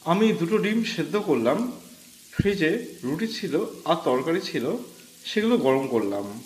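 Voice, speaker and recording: A man's voice: a little high, sing-song, a little slow. A man narrating a scripted first-person horror story in a dramatic storytelling manner. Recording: echoey voice over background music.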